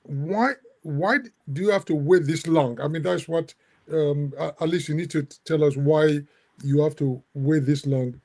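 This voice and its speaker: Halting, higher-voiced male moderator. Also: a little mellow. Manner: halting, many restarts and fillers. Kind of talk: academic discussion on a video call.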